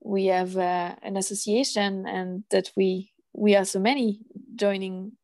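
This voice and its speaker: A woman from France, a podcast guest who speaks thoughtfully and pauses to find her words. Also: medium pitch, steady tone, darkish tone, no room sound. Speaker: guest, zero waste association founder. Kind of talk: podcast interview.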